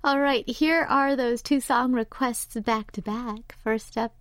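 A woman's voice: on the high side, measured pace, no room sound. A woman radio DJ with an unusual accent, chatting casually and reading listener messages aloud between songs. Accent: American English. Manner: chatty, relaxed, with fillers. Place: radio studio. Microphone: studio microphone.

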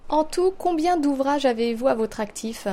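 Mellow higher-voiced woman interviewer. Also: lively intonation, normal speed, a little hiss or hum. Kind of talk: interview questions.

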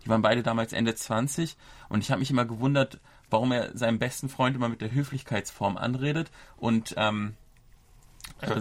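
A man's voice: medium pitch, no room sound. A man in his thirties from Germany, hosting a casual podcast and explaining things at length with small restarts. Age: 30s. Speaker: podcast host.

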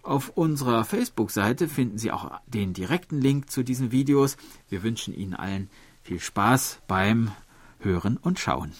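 A man's voice: medium pitch, moderate pace, no echo. A man presenting a radio program from a studio, reading announcements and listener mail. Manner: lively, reads listener mail aloud.

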